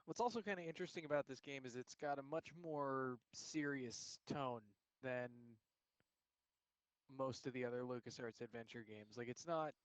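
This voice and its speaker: A man's voice: medium pitch, normal speed, smooth, dry recording, poor audio. A man co-hosting a game playthrough, giving wry, conversational commentary in a casual style.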